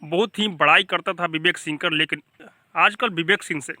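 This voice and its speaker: A man's voice: a little high, moderate pace, bright, forceful tone, a little hiss or hum. A man with a Bhojpuri accent giving informal, emphatic gossip news commentary as a channel host, often addressing viewers like brothers.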